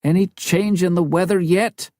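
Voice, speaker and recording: A man's voice: high-pitched, steady tone, normal speed, dark tone, dry recording, studio quality, pitched above the performer's own voice, lightly acted. A man reading a storybook role as a wary, businesslike male bush pilot talking over the radio. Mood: anxious.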